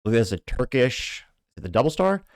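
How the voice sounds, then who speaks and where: A man's voice: medium pitch, very animated, fast talker, dry recording, clean studio sound. A man with an American accent giving casual, rambling political commentary as a show host, with offhand asides.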